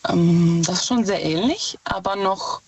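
A woman's voice: fairly low pitch, unhurried, breathy edge. A woman with a Czech accent calling into a radio show, nervous and hesitant with many fillers. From Czech Republic. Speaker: caller. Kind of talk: phone call-in to radio. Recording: dull distant phone line.

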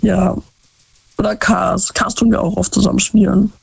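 A young woman from Baden-Württemberg calling in to a show, hoarse from a flu and hesitant, searching for her words. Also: mid-range voice, little pitch movement, moderate pace, no room sound, lo-fi sound. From Baden-Württemberg, Germany. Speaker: caller. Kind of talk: casual call-in chat.

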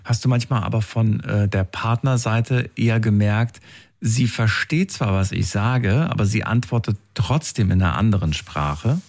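A man's voice: fairly low pitch, expressive, moderate pace, darkish tone. A man interviewing someone in a conversational, informal way, often adding little tag questions. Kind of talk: conversational interview about personal experiences.

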